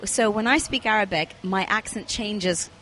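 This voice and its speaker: A woman's voice: mid-range voice, normal speed, a bit bright, slight background noise, rough recording. A woman guest in an interview, telling stories from her working life in a reflective way, with fillers and restarts. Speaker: podcast guest. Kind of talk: conversational interview.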